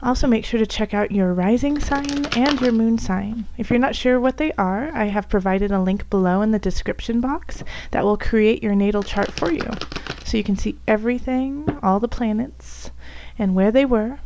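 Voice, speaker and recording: A woman with a raspy, hoarse voice from bronchitis, softly reading tarot cards for her viewers, at times almost whispering. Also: on the high side, moderate pace, lo-fi sound, noisy background. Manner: soft, at times whispery, with fillers and restarts. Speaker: tarot reader.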